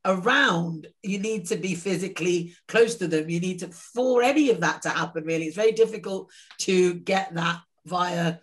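A woman's voice: fairly low pitch, moderate pace. A woman co-hosting a casual video-call chat, thinking aloud in a reflective way. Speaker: co-host.

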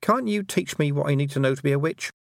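A man's voice: a little high, quick pace, creaky, dry recording, studio quality, pitched above the performer's own voice. A man voicing an earnest, stubborn and anxious young girl who hesitates, then blurts things out, in an audiobook. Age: child. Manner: hesitant openings, then breathless rush of detail. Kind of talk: audiobook character dialogue.

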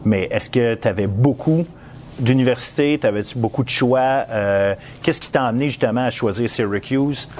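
A man's voice: medium pitch, moderate pace, darkish tone, slight background noise. A man with a Quebec accent hosting a casual sports interview, feeling his way through questions as he rephrases them. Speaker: podcast interviewer.

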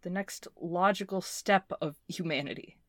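A woman playing a scrappy, intense inventor recording a personal log in an audio drama, talking in a halting, volatile way. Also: fairly low pitch, a bit bright, no echo. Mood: determined. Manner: swearing, halting, swinging from muttering to fervent.

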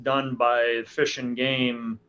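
A man's voice: mid-range voice, little pitch movement, slow, bright, forceful tone, slight background noise. A man in his forties, a hunting guide, answering interview questions casually with frequent restarts and fillers. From Alaska. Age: 40s. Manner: hesitant, many restarts and fillers. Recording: dull lo-fi sound.

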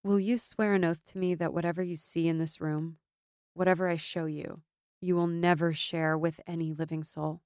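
A woman's voice: on the low side, little pitch movement, moderate pace, a little mellow, smooth, no echo, studio quality, natural voice. Woman as a proud, guarded exiled warrior noblewoman. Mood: grave, guarded. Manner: firm, wry.

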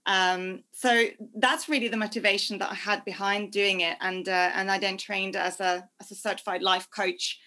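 A young woman chatting as a podcast guest, warm and talkative, laughing easily. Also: mid-range voice, fairly even intonation, moderate pace, hardly any pauses. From Norway. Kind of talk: casual interview chat.

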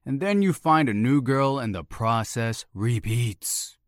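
An actor playing a pushy, needling best buddy of about thirty in an audio drama, brash and blunt in manner. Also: male voice, medium pitch, expressive, measured pace, no room sound, clean studio sound, lightly acted. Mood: exasperated. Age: around thirty.

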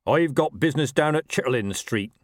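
A man voicing a gruff dwarf police sergeant in an audiobook, barking commands. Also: medium pitch, expressive, fairly quick, dry recording, studio quality, voice pushed up, natural voice. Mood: angry, curt. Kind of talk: audiobook character voice.

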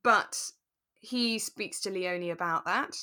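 A woman's voice: mid-range voice, slow, bright, forceful tone. A woman co-hosting a podcast, chatting casually and sympathetically about a radio drama in a reflective way. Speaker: podcast co-host.

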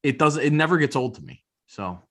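A man's voice: a little high, lively intonation, brisk, fairly bright tone. Casual male podcast co-host, informal and opinionated. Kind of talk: casual chat.

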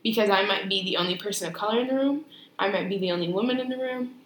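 A young woman co-hosting a casual podcast, mid-pitched and measured, stopping to rephrase her thoughts. The room is echoey, with a faint hiss underneath. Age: young adult. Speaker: podcast co-host.

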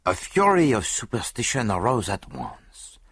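A man voicing a dapper, self-satisfied little foreign detective in an audiobook, speaking precisely and deliberately. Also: fairly low pitch, wildly swooping pitch, a bit bright, husky, no echo, voice pushed up, full character voice. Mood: grave, explanatory.

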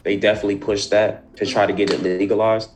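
A man's voice: fairly low pitch, little pitch movement, quick pace, crowd noise. A young man with an American accent, a student guest talking casually in a podcast interview. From United States, New Jersey. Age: young adult.

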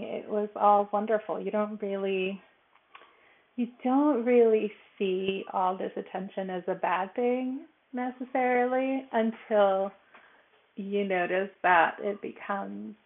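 A woman's voice: mid-range voice, creaky. A woman in her forties from Los Angeles, a podcast guest telling her story hesitantly with many fillers. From Los Angeles, California. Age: 40s. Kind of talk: personal story in a podcast chat. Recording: tinny phone-like line.

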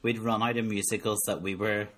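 A man's voice: fairly low pitch, little pitch movement, fairly quick, a bit bright. A man in his thirties with a Northern Irish accent, chatting casually as a podcast co-host with jokey, self-mocking banter. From Northern Ireland. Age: 30s. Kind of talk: casual chat.

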